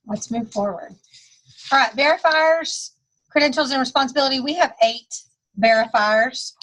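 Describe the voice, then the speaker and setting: A woman's voice: fairly high pitch, rough recording. A woman giving a recorded staff training talk, casual, with dry humor and many fillers. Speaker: school testing coordinator.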